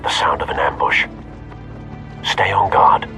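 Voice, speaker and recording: An actor playing an elderly butler and confidant in a dramatic video game, formal, dryly witty and concerned. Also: male voice, a bass voice, unhurried, pushed and bright, faint music behind, exaggerated voice. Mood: alarmed, concerned.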